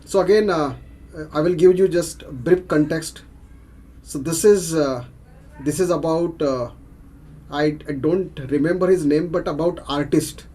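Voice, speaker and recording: A man with an Indian accent speaking haltingly as a participant on a video call. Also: on the high side, dark tone, husky. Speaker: club session participant.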